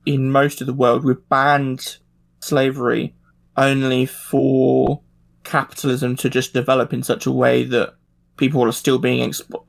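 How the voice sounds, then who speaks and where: A man's voice: mid-range voice, creaky. A man chatting casually as a podcast panelist, hesitant and thinking aloud as he goes. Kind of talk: casual discussion.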